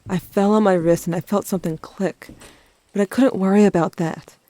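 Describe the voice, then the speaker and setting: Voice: mid-range voice, steady tone, normal speed, mellow, a little hiss or hum, close to an everyday voice. A performer playing a grieving teenager who narrates events intimately, addressing a sibling, in an audio drama. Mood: tense.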